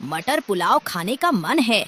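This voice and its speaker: A woman's voice: medium pitch, very animated, unhurried, background noise. A woman voicing a hungry, penniless female kite bird who wheedles, in a children's cartoon. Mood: wistful. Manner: wheedling, pleading. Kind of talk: children's cartoon story acting. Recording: music under the voice.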